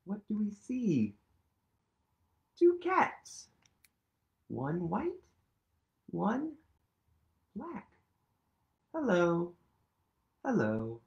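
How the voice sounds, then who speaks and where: A man's voice: sits high, wildly swooping pitch, drawn-out words, creaky, pauses often, lo-fi sound. A man in his thirties reading a picture book aloud to young children, playful and sing-song, dropping into whispers, at home on a sofa in front of a webcam. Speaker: children's storytime reader. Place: living room at home, seated on a sofa.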